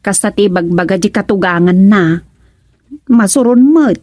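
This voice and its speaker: A woman's voice: mid-range voice, normal speed, mellow, no room sound, clean studio sound, close to an everyday voice. A woman playing a chatty, gossiping village busybody in a radio comedy drama, with an Ilocano accent and exclamatory manner. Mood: gossipy.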